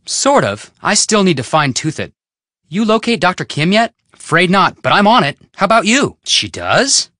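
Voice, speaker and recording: Young detective game sidekick, bright lively voice, boyish, eager, casual, friendly. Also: male voice, sits high, normal speed, no echo, studio quality, heavily acted. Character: upbeat, boyish young male amateur detective, helpful friend. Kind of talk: video game voice acting.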